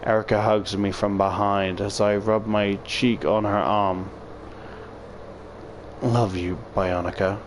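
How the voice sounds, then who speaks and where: A man with a fairly low voice reads a visual novel out loud. He mixes the narration with joking, swearing commentary of his own. There is a faint layer of noise behind him. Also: little pitch movement, slow pace. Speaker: video creator reading a game aloud.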